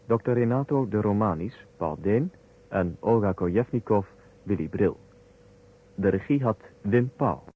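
A man's voice: on the low side, animated, measured pace, husky. A man announcing a radio play in a formal, scripted style. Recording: muffled, narrow-band vintage radio recording.

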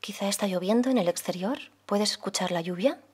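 A woman leading a guided meditation as a yoga guide, speaking softly and soothingly, unhurried, with pauses between phrases. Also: mid-range voice, slightly rough. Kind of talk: guided meditation, slow calm instruction.

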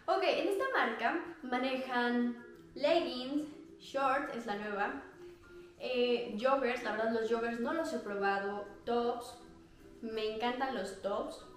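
A woman's voice: on the high side, slow, faint music behind. A young woman with a Mexican Spanish accent, chatting casually and gesturing as she reviews activewear for her vlog. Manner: bubbly, chatty, gestures a lot, laughs often. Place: small indoor room at home. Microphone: clip-on lapel mic. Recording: very echoey small room.

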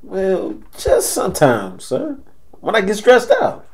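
A man's voice: high-pitched, wildly swooping pitch, slow, a little mellow, exaggerated voice. A man playing a sheepish, deferential American grandson in his late thirties, hesitant and defensive in an audio drama.

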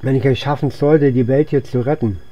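A man's voice: mid-range voice, brisk, dark tone, no room sound, highs cut off. A man gives casual, rambling video game commentary, thinking aloud as he plays. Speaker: gaming channel host. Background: game music and sound effects.

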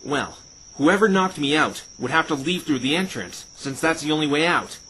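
A man's voice: a little high, lively intonation, moderate pace, fairly bright tone, slight background noise, poor audio. A man playing an earnest, plain-spoken, wry male defense lawyer in conversational game-style dialogue. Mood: uneasy, thinking. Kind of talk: fan dub of visual novel game dialogue. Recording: background music under the voice.

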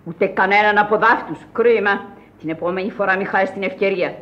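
An actress playing an eccentric, whimsical old countess, speaking in a grand, commanding manner of classic radio theatre. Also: female voice, medium pitch, muffled recording, lightly acted. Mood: brisk, indignant.

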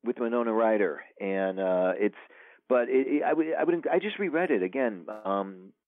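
A man's voice: mid-range voice, lively intonation, normal speed, dry recording. A male author talking casually as an interview guest, rambling with many fillers and restarts. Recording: tinny phone line, dry.

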